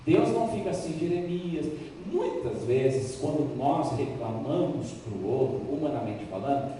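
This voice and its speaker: A man's voice: mid-range voice, animated, mellow. Brazilian male preacher in a very echoey hall. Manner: emphatic, repetitive phrasing with dramatic pauses. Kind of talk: sermon with Bible reading. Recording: echoey hall, distant mic, faint noise.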